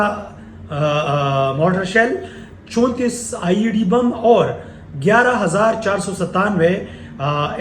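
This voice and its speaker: A man with a distinctly high voice and a Pakistani accent delivers news commentary. He moves between reading reports and halting, unscripted explanation. The sound is echoey, with faint noise behind him. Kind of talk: current affairs news commentary, partly read and partly off the cuff.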